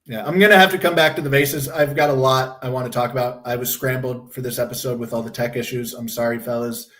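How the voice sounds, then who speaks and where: A man's voice: mid-range voice, fairly even intonation, quick pace, fairly bright tone. A young man hosting a casual livestream. He talks bluntly, rambles, swears freely, and is losing his voice from a sore throat. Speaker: livestream host.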